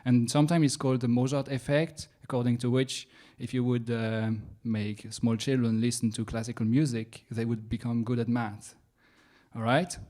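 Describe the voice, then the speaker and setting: A young man from France with a French accent, doing a science stand-up comedy set in a self-mocking, halting way with playful asides. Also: mid-range voice, little pitch movement, mellow. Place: comedy venue stage.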